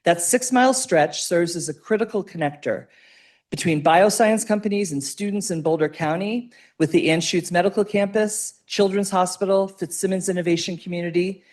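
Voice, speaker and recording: A woman's voice: fairly low pitch, a little fast, a little mellow. Woman reading a formal public comment. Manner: formal, reading a prepared statement. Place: public meeting room.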